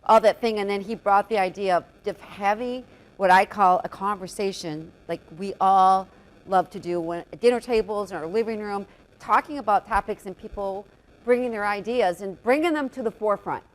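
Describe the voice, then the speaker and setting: A loud-voiced woman with an ordinary mid-range pitch interviewing a guest in a conversational way. She is chatty, jumps in with her own thoughts, and restates ideas back to him. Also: normal speed, poor audio.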